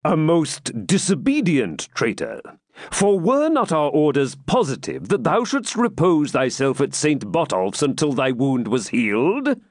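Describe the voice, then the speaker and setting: A man's voice: mid-range voice, sing-song, normal speed, no room sound, studio quality, pitched above the performer's own voice. A man voicing a proud, hearty warrior king in a dramatic storybook reading, with grand, commanding, archaic speech. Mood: teasing.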